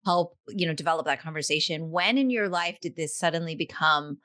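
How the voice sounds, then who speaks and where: Low, bright-toned woman host, dry sound. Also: fairly quick, no room sound. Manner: warm, encouraging, hedging fillers. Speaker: interviewer, host. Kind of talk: interview questions.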